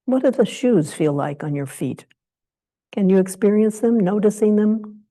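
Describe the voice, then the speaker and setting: A woman leading a guided meditation as a teacher, speaking in short, gentle phrases of invitation with long pauses between them. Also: mid-range voice, expressive.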